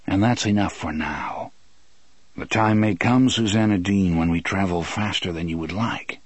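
A stoic gunslinger acted by an audiobook reader, a man, low and husky. He is grave and patient, speaking in a calm, solemn, formal way. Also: moderate pace, no echo.

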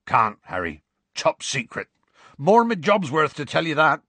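A huge, grumpy bearded half-giant from a storybook. He speaks gruffly in rustic, muttering phrases, with a husky edge and big swings in pitch, recorded very dry. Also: very animated, unhurried, sharp tone, no room sound, full character voice. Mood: gruff, defensive.

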